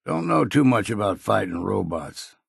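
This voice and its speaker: A grizzled old American hunter from a post-apocalyptic video game. His voice is low and thick with gravel, recorded dry and close. He speaks in a blunt, folksy way, gruff and wryly world-weary. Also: very animated, moderate pace, no room sound, exaggerated voice. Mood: matter-of-fact. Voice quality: gravelly, husky. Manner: folksy, plain-spoken, blunt. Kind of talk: video game character voice lines.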